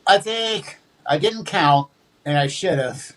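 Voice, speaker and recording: Man with a fairly high, hesitant voice. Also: expressive, slow pace, fairly bright tone. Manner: hesitant, repeats words while thinking. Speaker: guest. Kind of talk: conversational interview.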